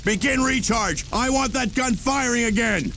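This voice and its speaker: An actor playing a defiant, fanatical military governor in a war game, barking orders in a gravelly voice. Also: male voice, very high voice, moderate pace. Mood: urgent command. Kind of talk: video game voice acting. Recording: battlefield noise behind voice.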